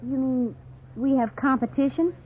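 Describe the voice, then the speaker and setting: An actress playing a sensible, cautious young wife in a 1950s radio drama, polite and gently questioning. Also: female voice, high-pitched, moderate pace, lightly acted. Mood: thoughtful. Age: young adult. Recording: muffled, noisy 1950s radio recording.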